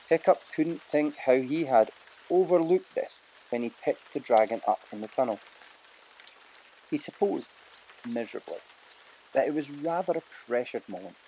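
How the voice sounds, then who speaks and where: A man's voice: medium pitch, warm tone, rough recording. Dramatic man narrating, with background noise. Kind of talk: scripted audiobook narration.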